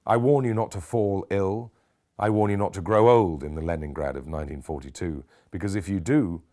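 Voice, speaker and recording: Man playing deep-voiced, emphatic British academic lecturer. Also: brisk, dark tone, natural voice. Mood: ominous warning. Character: forty-three-year-old academic man lecturing, theatrical and wry.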